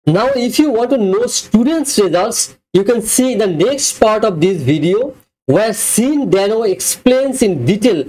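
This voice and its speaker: A reviewer reading a scripted product review narration in an even, read-from-script way. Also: mid-range voice, measured pace, poor audio.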